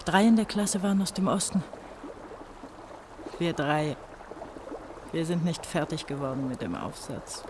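An actress playing a bitter, feverish eighteen-year-old East German girl in a German radio play, brooding and halting in her delivery. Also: deep voice, animated, background noise. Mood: fearful, brooding.

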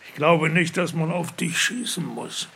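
An actor playing a frail, sly old man in a radio play, gravelly and raspy, slow and bossy. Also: on the high side, sharp tone, a little hiss or hum, heavily acted. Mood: calm, knowing. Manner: slow, deliberate, commanding.

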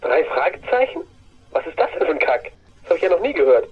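A man playing a gruff, blunt and easily outraged newsagent over the telephone in a German audio drama. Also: on the high side, sing-song, quick pace, exaggerated voice. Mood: scornfully curious. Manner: blunt, grumbling, sarcastic. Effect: telephone filter. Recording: muffled telephone sound with faint hiss.

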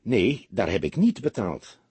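A man's voice: on the low side, very animated, unhurried, darkish tone, breathy edge, no room sound, full character voice. A man voicing an earnest, polite businessman explaining himself in an audiobook. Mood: amused, chatty. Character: earnest, round-faced businessman giving his account.

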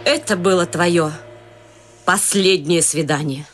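A woman's voice: lowish voice, takes it slowly. A scolding society mother. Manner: sharp, scolding.

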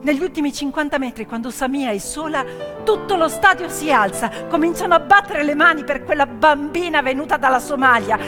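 A woman performing a dramatic theatrical monologue as a stage storyteller, intense and emphatic, in short suspenseful phrases. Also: sits high. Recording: long hall reverb, piano music underneath. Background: piano accompaniment.

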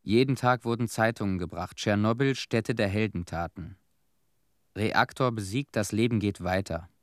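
An actor playing a haunted disaster cleanup veteran in a radio play, telling his story in short, measured, somber phrases. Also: lowish voice, no echo, studio quality, lightly acted. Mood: somber, bitter. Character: haunted Chernobyl cleanup veteran, a man bearing witness.

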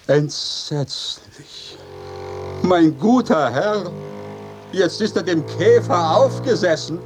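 High-pitched, exasperated male servant. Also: expressive, slow, soft background music. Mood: exasperated, confiding. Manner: declamatory, exasperated, pleading. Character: agitated, complaining male servant of a mad master. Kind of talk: theatrical radio play of ancient comedy.

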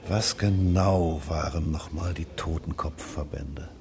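An actor playing a dry, terse, probing police detective in naturalistic radio drama dialogue. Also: male voice, extremely low pitch, slow, a crowd in the background, full character voice. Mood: dry, confiding.

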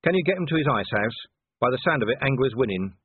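A man playing a gruff, harried police commander in an audiobook, giving curt and forceful orders. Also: mid-range voice, lively intonation, fast, no room sound, narrow, tinny audio.

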